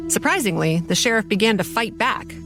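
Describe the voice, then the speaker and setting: A woman's voice: medium pitch, animated, fairly quick, music in the background, lightly acted. A woman playing a haunted, sardonic young campground manager, telling her horror story in a confiding, wry first person. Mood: wry, tense.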